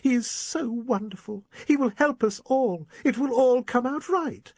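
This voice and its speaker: A man voicing a shrill, hysterical, fanatical middle-aged spinster in an audiobook reading. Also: huge pitch swings, normal speed, dry recording. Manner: shrill, breathless, rising to frenzied.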